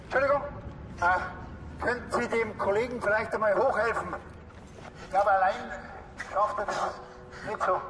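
Deadpan Bavarian cop for TV crime comedy male actor, slightly high voice, laconic, colloquial. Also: moderate pace, pauses often, long reverb tail, noisy background, natural voice. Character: dry, laconic, unflappable Bavarian policeman.

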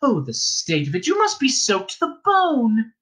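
Guy doing a cozy old innkeeper lady for a storybook, way up high, chatty and coaxing, swoopy. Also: huge pitch swings, normal speed, fairly bright tone, full character voice. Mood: fussing. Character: friendly, motherly old female innkeeper's wife.

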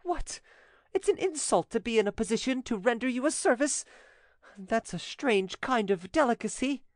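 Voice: medium pitch, lively intonation, normal speed, no room sound, clean studio sound, pitched above the performer's own voice, natural voice. A narrator voicing a timid yet insistent, doting French widow in an audiobook story. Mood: indignant, pleading.

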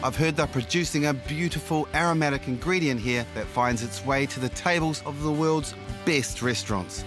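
Male host, 40s, New Zealand accent, upbeat food-show presenter, soft music behind. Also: a little high, moderate pace. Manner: enthusiastic, tasting and describing food. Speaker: travel cooking show host. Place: outdoor kitchen and orchard. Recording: light music underneath.

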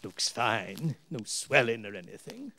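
A man's voice: medium pitch, big pitch swings, measured pace, vocal fry, sharp tone, a little hiss or hum, full character voice. A man playing a jovial, curious older husband in a radio play, chuckling and colloquial. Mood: brisk, reassuring.

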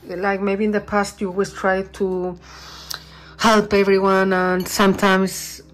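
A woman reads tarot cards at a table indoors, speaking off-camera. She talks warmly and reassuringly, in a mid-range voice, and pauses to search for English words. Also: mid-range voice, level tone, slight background noise, rough recording. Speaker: tarot reader. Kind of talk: channeled tarot reading.

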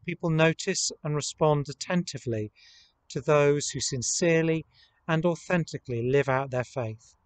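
Priest preaching outdoors, slightly high voice. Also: male voice. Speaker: priest leading online worship. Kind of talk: reflective sermon to camera. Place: outdoors in a rural churchyard. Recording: outdoor camera recording with ambient noise.

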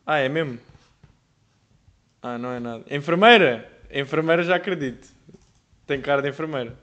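A man's voice: on the high side, normal speed, fairly bright tone, highs cut off. A young man with a European Portuguese accent, casually chatting and joking while reacting to a video on a livestream. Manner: laid-back, laughing, joking with chat. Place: streaming room indoors.

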